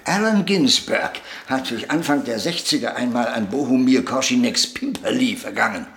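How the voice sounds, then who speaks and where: An actor playing a proud, prickly old puppeteer in a radio drama, telling anecdotes theatrically and emphatically. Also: male voice, mid-range voice, lively intonation, normal speed, creaky, a bit bright. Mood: relishing the anecdote. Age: older.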